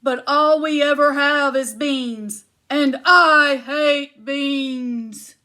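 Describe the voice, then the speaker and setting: A woman voicing a grumpy, hungry giant husband who booms and complains. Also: very slow, pushed and bright, exaggerated voice. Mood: grumbling. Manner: booming, complaining.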